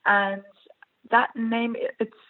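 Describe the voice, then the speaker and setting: A woman's voice: fairly high pitch, little pitch movement, takes it slowly. English-accented woman on a tinny line. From Iran. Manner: chatty, laughs often, many fillers. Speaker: actress, interview guest. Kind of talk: casual interview talk.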